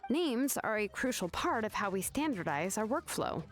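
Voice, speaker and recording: Woman as a lively, laid-back game craftswoman. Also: medium pitch, normal speed, close to an everyday voice. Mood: matter-of-fact, explaining. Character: composed young female craftswoman, laid-back but exacting. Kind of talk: video game voice acting. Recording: game music behind the voice.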